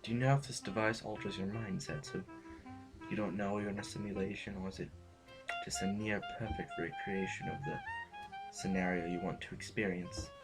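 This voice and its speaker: A man playing a subdued, self-doubting court intellectual in an audio drama, speaking in a halting, reflective and formal way. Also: low-pitched, fairly even intonation, over music, lightly acted, rough recording. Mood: weary, hesitant.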